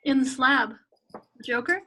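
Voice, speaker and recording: A woman playing a composed, astute superhero warrior in a script table read, with a measured, serious delivery. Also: high voice, pushed and bright, exaggerated voice. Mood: analytical.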